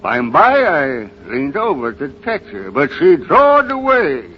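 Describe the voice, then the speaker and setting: Old country grandfather: rural American, folksy and sorrowful, unhurried halting delivery, vintage radio play, dull recording. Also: a little high, wildly swooping pitch. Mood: wistful, sorrowful. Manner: halting, rustic, dramatic storytelling. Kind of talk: 1930s radio drama acting. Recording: muffled, noisy 1930s radio recording.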